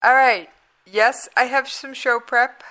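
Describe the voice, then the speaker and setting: A woman hosts an internet radio talk show in a chatty, folksy way. Her voice is bright and a little strained because she is losing it. She talks at an unhurried pace. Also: sits high, fairly even intonation.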